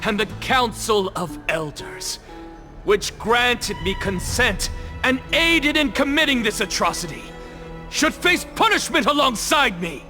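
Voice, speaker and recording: An actor playing a defiant scholar in a video game, speaking in a grandiose, taunting, declamatory manner. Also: male voice, very high voice, very animated, unhurried, pushed and bright, exaggerated voice. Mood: dramatic, defiant. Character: theatrical defiant male scholar confessing blasphemy. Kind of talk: video game cutscene voice acting. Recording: background music behind the voice.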